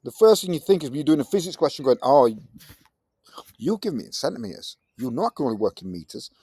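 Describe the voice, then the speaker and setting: A man in his fifties with a Yorkshire accent, teaching a science lesson in a chatty, lively manner with British slang. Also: mid-range voice, fast, husky, no room sound, studio quality. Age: 50s. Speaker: tutor. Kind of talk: recorded tutoring lesson.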